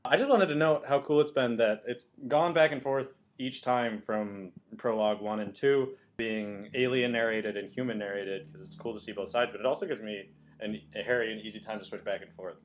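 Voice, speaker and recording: A man co-hosting a podcast in casual comedic banter, playful and quick to interject. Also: fairly low pitch, slight background noise. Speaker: podcast co-host.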